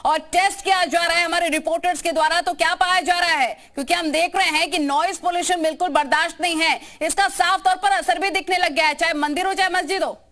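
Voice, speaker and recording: A woman's voice: very high-pitched, steady tone, fast, hardly any pauses, bright, forceful tone. A woman anchoring a live television news programme.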